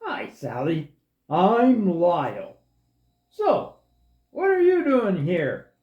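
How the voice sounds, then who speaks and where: A woman's voice: fairly low pitch, wildly swooping pitch, drawn-out words, heavily acted. A woman voicing a naive, timid but friendly crocodile in a children's puppet show, with a simple, questioning delivery. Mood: curious. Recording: dull, echoey room recording.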